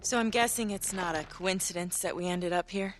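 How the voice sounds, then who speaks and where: An actress playing a tough, skeptical journalist in a war zone, blunt and sardonic, for a video game. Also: female voice, fairly low pitch, brisk, over music, lightly acted. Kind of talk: naturalistic video game cutscene acting. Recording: faint background noise.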